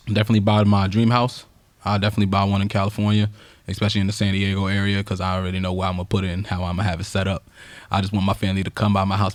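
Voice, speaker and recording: Young New host, slangy and upbeat, rapid delivery, dry mic. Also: male voice, on the low side, no room sound. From Brooklyn, New York. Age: 20s. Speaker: podcast host. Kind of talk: casual group chat.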